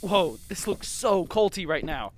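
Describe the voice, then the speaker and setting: A man plays an irreverent young podcast sidekick in a fiction audio drama. His voice is fairly high and very animated, with a casual, puzzled, flippant delivery. There is slight noise in the recording. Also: measured pace.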